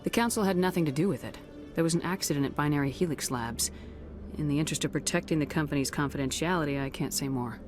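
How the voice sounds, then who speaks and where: Composed low-voiced female commander by an actress, music behind. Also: close to an everyday voice. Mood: diplomatic. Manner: measured, assured, diplomatic. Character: composed, diplomatic female military commander in space.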